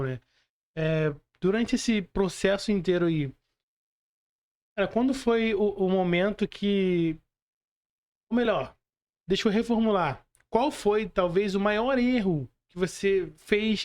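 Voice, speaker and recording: Brazilian man hosting, halting delivery. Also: sits high, darkish tone. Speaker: podcast host, interviewer. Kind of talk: casual interview questions.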